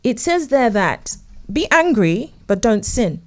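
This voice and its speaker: A woman's voice: a little high, sing-song, slow pace. A woman from England, a relationship consultant speaking in a casual interview, earnest and fond of telling stories and acting out what her husband says.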